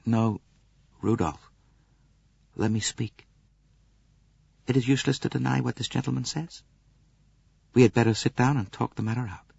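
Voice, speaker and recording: A man's voice: mid-range voice, moderate pace, long pauses, muffled recording. A man voicing an earnest, passionate young American countess in an audiobook, with a composed, incisive tone. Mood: composed, firm. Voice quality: rich, clear-cut, incisive tone. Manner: earnest, quietly emotional.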